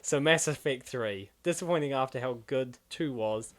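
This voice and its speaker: A man hosting a comic dub with excitable, rambling, casual reaction commentary. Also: mid-range voice, normal speed.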